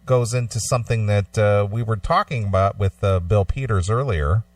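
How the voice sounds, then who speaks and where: A man's voice: lowish voice, moderate pace, no room sound. A man hosting a casual talk show in a blunt, conversational way, at times reading an article aloud. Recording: slightly distant microphone, dry sound.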